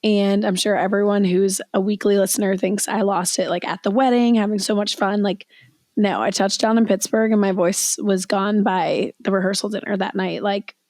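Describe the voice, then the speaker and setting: A young woman co-hosting a podcast, hoarse and raspy from losing her voice, chatting casually with lots of "like" fillers. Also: mid-range voice, steady tone, moderate pace, darkish tone, no room sound, clean studio sound. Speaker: podcast co-host. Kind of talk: casual chat.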